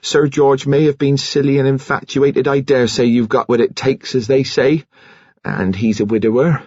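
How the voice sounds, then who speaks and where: A man's voice: medium pitch, fairly even intonation, moderate pace, a little mellow, husky, no room sound, dull audio, pitched above the performer's own voice, lightly acted. A man voicing a prim, moralising, indignant woman nurse in a genteel scolding manner, as an audiobook character. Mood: reproving.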